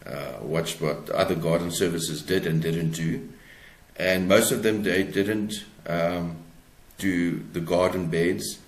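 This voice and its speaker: Deep-voiced South African man in echoey room. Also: fairly even intonation, slow. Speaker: author, podcast guest. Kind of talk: conversational interview answers.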